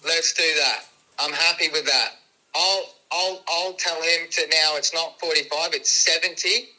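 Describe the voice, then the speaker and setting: Bright-voiced halting man. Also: fairly high pitch, steady tone, poor audio. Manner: halting, stammering, defensive. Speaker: builder on a phone call. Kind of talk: tense phone argument. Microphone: phone.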